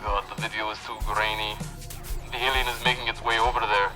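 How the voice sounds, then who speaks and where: A man's voice: lowish voice, flat delivery, brisk, loud music behind the voice, close to an everyday voice. A man playing a loyal but exasperated tech operator in a sci-fi audio drama, giving terse step-by-step commands. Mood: urgent, instructing. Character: bossy tech operator guiding an injured friend remotely.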